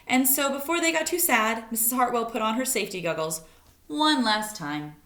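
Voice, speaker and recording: A woman's voice: a little high, expressive, moderate pace, a bit bright. A woman reading a children's picture book aloud like a teacher, expressively, with asides to young listeners. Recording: echoey, roomy sound.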